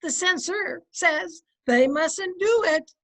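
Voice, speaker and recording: A woman playing a patient middle-aged wife with dry wit, folksy and gently teasing, in 1950s radio comedy style. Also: very high-pitched, lively intonation, takes it slowly, full character voice. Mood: wry, helpful.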